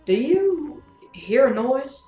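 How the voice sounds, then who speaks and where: A woman playing a sharp-tongued, sardonic gunslinger in a science fiction audio drama, needling and wry. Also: high-pitched, very animated, slow, reverberant, lo-fi sound, faint music behind, heavily acted. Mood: dry, wary. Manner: needling, wry, rhetorical questioning.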